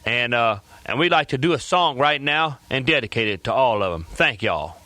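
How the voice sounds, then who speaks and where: A man's voice: mid-range voice, lively intonation, moderate pace, pushed and bright, dry recording. A man voicing a jovial male animatronic bear who hosts a stage show, with a folksy, stammering way of talking. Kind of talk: scripted stage banter.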